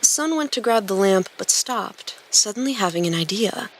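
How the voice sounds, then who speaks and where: A woman's voice: mid-range voice, lively intonation, moderate pace, a little hiss or hum. A woman reading scripted story narration as a podcast narrator and host.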